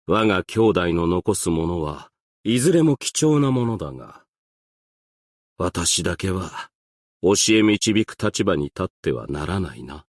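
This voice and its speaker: A man voicing a composed, stern, ancient strategist and Go master for a game, with formal, archaic, measured and reserved diction. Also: low-pitched, expressive, no echo, clean studio sound, exaggerated voice. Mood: solemn, resigned. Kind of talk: scripted game character voice lines.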